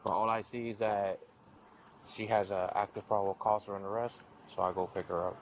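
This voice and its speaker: A man's voice: on the low side, flat delivery, brisk, a little hiss or hum, rough recording. A man, a police officer talking hesitantly at someone's front door, with many restarts. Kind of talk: casual talk at a doorstep. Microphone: body camera.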